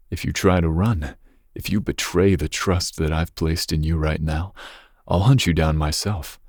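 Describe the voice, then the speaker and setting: Man playing a deep, husky, seductive mafia heir. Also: a little mellow, dry recording, clean studio sound. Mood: threatening. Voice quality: breathy, husky low voice. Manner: smooth menace, slow teasing pauses. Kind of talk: ASMR roleplay, intimate second-person monologue.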